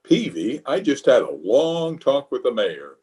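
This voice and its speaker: An actor playing a pompous, fussy middle-aged water commissioner who gets easily exasperated, in an old-time radio comedy style. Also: male voice, mid-range voice, very animated, a little slow, darkish tone. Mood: self-satisfied. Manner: self-important, exasperated.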